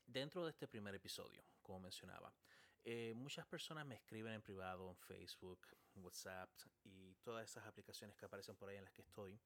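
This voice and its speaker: Man, lowish voice, casual podcast monologue, many fillers. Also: fairly bright tone. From Chile, raised in Dominican Republic. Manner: conversational, with frequent filler "eh". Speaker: podcast host, paramedic.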